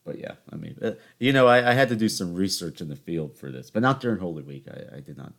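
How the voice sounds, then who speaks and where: Southern-raised twenties male host, New York-tinged accent, low pitch, loose self-mocking storyteller. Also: expressive, fast, creaky, a bit bright. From Charleston, South Carolina. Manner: rambling, joking, self-mocking. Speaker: podcast host. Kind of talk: casual solo podcast storytelling.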